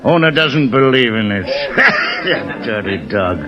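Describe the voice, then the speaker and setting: Slurring drunken old sea captain. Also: male voice, mid-range voice, wildly swooping pitch, a crowd in the background. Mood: grudging. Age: 60s. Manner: slurred, halting drunken talk with coughs and grumbling. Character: drunken, bitter, self-pitying old sea captain, aged sixty. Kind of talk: 1950s radio drama acting. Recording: dull old radio recording with music.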